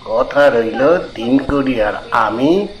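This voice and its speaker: A man narrating an eerie first-person tale in a deliberate, suspenseful, dramatic way. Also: medium pitch, lo-fi sound. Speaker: story narrator. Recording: roomy echo under background music.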